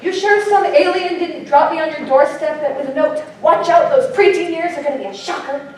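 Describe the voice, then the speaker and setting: A woman's voice: high-pitched, moderate pace, close to an everyday voice. A woman acting an upset, self-doubting preteen girl who sulks at her mother in a staged musical reading. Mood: dejected. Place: live staged reading in a hall. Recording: roomy stage recording from far off, faint noise.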